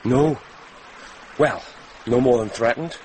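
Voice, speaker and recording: A man's voice: medium pitch, slow, darkish tone, close to an everyday voice. An actor playing a frank young man in a radio drama, candid and offhand in his talk. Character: frank young betrothed man, unhurried about marriage. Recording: noisy vintage radio drama recording.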